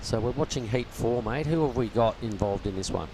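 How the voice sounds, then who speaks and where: Male surf play-by-play lead, mid voice, talkative and steady, noisy outdoor booth. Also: mid-range voice, brisk. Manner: chatty, steady, explains conditions and scores. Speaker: surf contest commentator. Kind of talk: live play-by-play commentary. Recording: outdoor ambient noise, passing vehicles.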